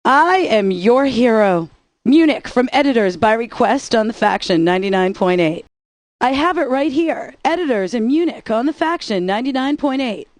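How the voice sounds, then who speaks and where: A woman's voice: a little high, lively intonation, normal speed. Sassy woman radio DJ. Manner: sassy, playful, teasing. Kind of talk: scripted radio DJ patter.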